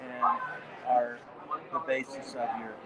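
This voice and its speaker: A man's voice: mid-range voice, level tone, slow, heavy background noise. An older man chatting casually with visitors at a conference booth, rambling through long anecdotes. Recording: loud crowd chatter behind.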